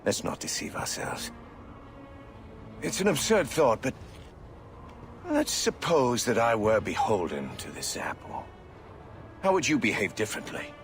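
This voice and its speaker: An actor playing a proud, calculating commander and ruler in a video game, speaking in measured, probing rhetoric. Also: male voice, mid-range voice, huge pitch swings. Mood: smug, probing. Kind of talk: dramatic video game acting. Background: music.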